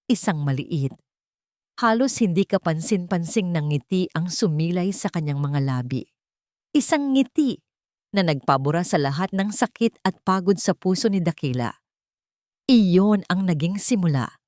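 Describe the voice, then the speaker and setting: Woman, Filipino accent, lowish voice, very animated pitch, dramatic story narration, measured phrasing, dry close sound. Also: no echo.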